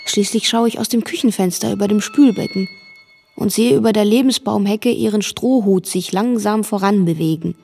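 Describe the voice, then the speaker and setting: An actress playing a nervous, watchful girl, narrating a radio drama in measured present tense with a husky voice. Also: mid-range voice, dark tone, faint music behind, close to an everyday voice. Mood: watchful, uneasy.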